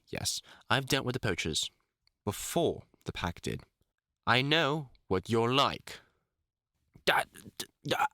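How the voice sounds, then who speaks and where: A man playing a gruff but caring, experienced vampire hunter in an audio roleplay, middle-aged, talking informally with dry, teasing asides. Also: mid-range voice, bright, forceful tone, no echo, full character voice. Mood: dry, irritable muttering. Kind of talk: intimate audio roleplay acting.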